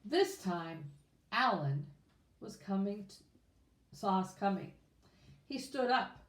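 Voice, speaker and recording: A woman reading a children's storybook aloud in an expressive, informal way. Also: medium pitch, slow pace. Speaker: children's book reader. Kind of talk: read-aloud storybook narration. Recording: echoey room.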